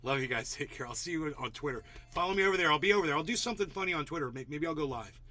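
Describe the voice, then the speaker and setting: A streamer signing off with casual, deadpan rambling, often dropping into a low mumble. His tone is very bright and sharp. The delivery is loose and offhand, with nothing performed. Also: rapid-fire. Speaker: streamer host. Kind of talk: casual livestream sign-off chat.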